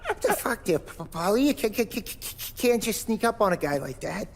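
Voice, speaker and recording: A man's voice: high-pitched, sing-song, fairly quick, in a large hall. An actor playing a nervous male garage mechanic with a heavy stutter, in a video game cutscene. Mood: startled.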